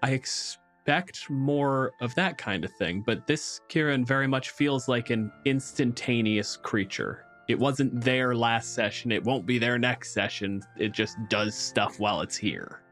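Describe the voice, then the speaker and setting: A man's voice: medium pitch, measured pace, hardly any pauses, fairly bright tone, faint music behind. A man hosting a casual podcast discussion in an informal, wry way. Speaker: podcast host.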